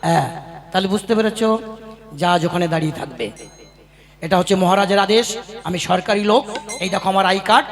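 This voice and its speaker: A man's voice: high voice, fast talker, creaky, pauses often, crowd noise. A man voicing a greedy government official in a puppet cartoon who blocks boats until he is paid a bribe. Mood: smug. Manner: smug, bossy, demanding bribes. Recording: voice over loud music.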